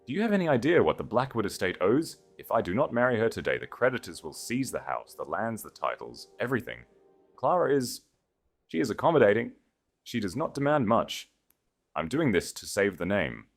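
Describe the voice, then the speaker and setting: A man acts out a desperate aristocratic groom from an audio romance. His delivery is breathless and agonised, rising and swinging in pitch with self-dramatising anguish. Faint music plays behind him. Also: very animated, a little fast. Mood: desperate, defensive.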